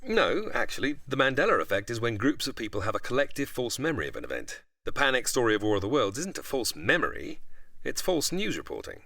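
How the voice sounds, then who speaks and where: A man's voice: mid-range voice, wildly swooping pitch, fast talker, bright, forceful tone, no room sound, studio quality. A man giving prepared, articulate explanations as an expert guest, with a storyteller's delivery. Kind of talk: explanatory interview, largely prepared narration.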